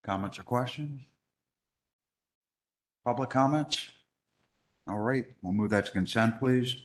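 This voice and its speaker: A man chairing a council worksession, moving items along in a brisk, procedural way. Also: medium pitch. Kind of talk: running a council meeting.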